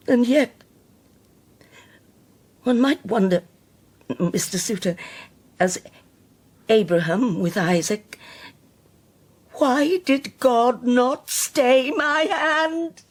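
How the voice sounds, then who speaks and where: Elderly religious zealot, slow halting delivery, husky, cold, self-righteous, radio drama. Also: female voice, on the high side, expressive, heavily acted. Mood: pious, bitter. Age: older. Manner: deliberate, halting, pious.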